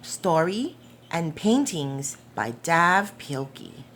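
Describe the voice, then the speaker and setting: A woman reading a picture book aloud to young children in a gentle, deliberate, expressive way, with questions put to the listeners. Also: fairly low pitch, slight background noise. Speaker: storytime reader for preschoolers.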